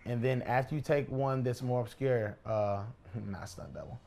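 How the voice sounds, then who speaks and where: A man's voice: medium pitch, moderate pace, warm tone. A man in a casual podcast chat while playing a video game, arguing his points and repeating them for emphasis. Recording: clearly noisy room recording.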